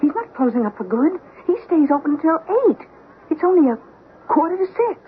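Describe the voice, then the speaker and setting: An American actress playing a scheming, persuasive young wife in a 1970s radio drama, coaxing and insistent. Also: female voice, sits high, lively intonation, a little fast. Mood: urgent, directing. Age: young adult. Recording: tinny, noisy old radio broadcast recording.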